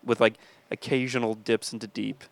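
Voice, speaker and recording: A man with a low, gravelly voice and a slightly nasal tone tells rambling, self-mocking stories in a casual podcast chat. He talks loosely and jokily, and now and then he slips into silly voices. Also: flat delivery, moderate pace, dry recording. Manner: joking, rambling storyteller, breaks into silly voices. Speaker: podcast co-host.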